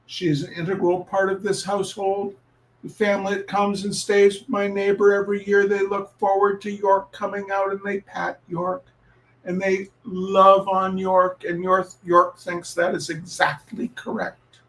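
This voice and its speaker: A man hosting a reading night, telling personal stories informally in a wry, deliberate way with pauses and repeated phrases. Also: sits high, completely flat delivery, a bit bright, low-quality recording. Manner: deliberate, halting, with dramatic pauses and repetition. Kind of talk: informal spoken introduction with personal storytelling.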